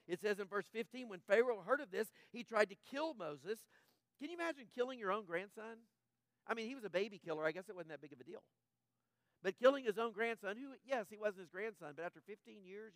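A man with a high voice preaches a sermon in a conversational way. He acts out the characters' inner thoughts and puts questions to his listeners. The recording is dry and close, with no room echo. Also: a bit bright. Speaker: pastor.

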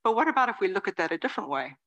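A woman in her forties giving a lively, conversational dharma talk as a Zen teacher, dropping now and then into soft whispered asides. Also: mid-range voice, very fast. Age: 40s. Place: meditation hall before a live audience.

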